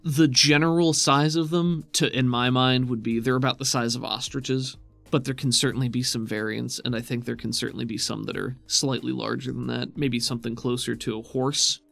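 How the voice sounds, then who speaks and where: A man's voice: medium pitch, steady tone, normal speed, vocal fry, a bit bright, faint music behind. A man working as game master, casually improvising descriptions for a tabletop game in a conversational way.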